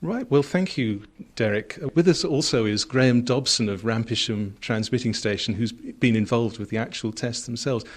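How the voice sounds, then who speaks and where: A man's voice: medium pitch, moderate pace, few pauses. A man interviewing guests on radio in a conversational, inquisitive and informal way.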